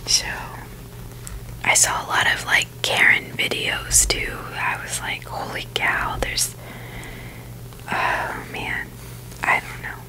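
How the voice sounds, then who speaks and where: A woman's voice: takes it slowly, pauses often, low-quality recording. A woman whispering breathily in a rambling, informal ASMR chat, hesitant and full of "like" and "you know". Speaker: ASMR video creator.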